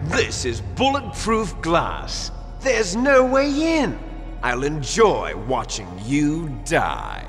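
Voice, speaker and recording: A man voicing a menacing, gloating terrorist villain in a video game, taunting in a drawn-out way. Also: on the high side, huge pitch swings, exaggerated voice. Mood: gloating menace. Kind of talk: theatrical video game acting. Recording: echoey hall, long reverb, music behind.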